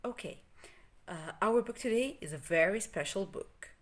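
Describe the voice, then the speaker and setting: A woman's voice: medium pitch, expressive, moderate pace. A woman storyteller hosting a children's read-aloud, speaking expressively and straight to young listeners.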